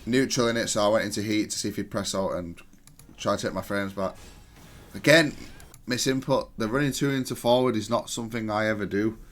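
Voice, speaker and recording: A man with a fairly low voice talks through his own gameplay. His delivery is analytical and matter-of-fact, with a self-critical streak. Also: brisk, soft background music. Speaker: gamer reviewing own match.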